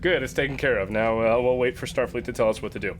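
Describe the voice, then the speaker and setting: A man's voice: medium pitch, quick pace, slight background noise. A man talking casually as a player in a tabletop roleplaying game.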